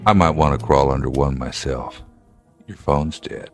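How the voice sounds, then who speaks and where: Very deep-voiced, steady state trooper. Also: male voice, faint music behind. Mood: dry, wry. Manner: measured, laconic, dryly wry. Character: gruff, steady male state trooper, wryly humorous, protective. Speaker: actor in a radio drama. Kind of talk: naturalistic radio drama acting.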